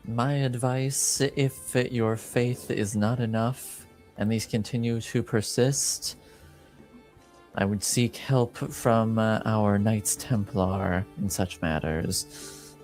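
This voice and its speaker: A man voicing a grave senior cleric who gives counsel slowly and solemnly in a tabletop game. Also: fairly low pitch, fairly even intonation, a little mellow, soft background music. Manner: slow, solemn, halting.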